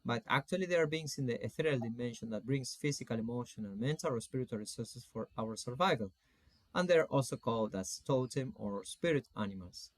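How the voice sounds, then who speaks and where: A man in his forties from Argentina with an Argentine Spanish accent gives an informal online lecture as a coach, phrasing things in a halting, deliberate way. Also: medium pitch, expressive, dry recording. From Salta, Argentina. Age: 40s. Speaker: spiritual coach teaching an online course.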